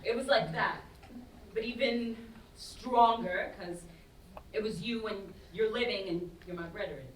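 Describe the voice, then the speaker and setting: A woman's voice: medium pitch, a little slow, lightly acted. A woman acting a cheeky, dramatic young best friend in a staged play reading, teasing and slangy. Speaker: student actor in a staged reading. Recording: roomy, distant stage recording with noise.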